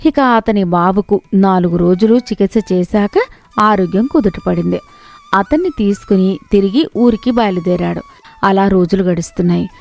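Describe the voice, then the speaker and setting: A woman's voice: medium pitch, darkish tone. A woman narrator reading a scripted moral story about villagers.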